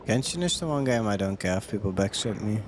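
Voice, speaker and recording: A man's voice: lowish voice, animated, fast, noisy background. A man giving casual live gameplay commentary as a streamer, thinking aloud and reading on-screen text and chat. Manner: thinks aloud, questioning himself while playing. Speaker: game streamer.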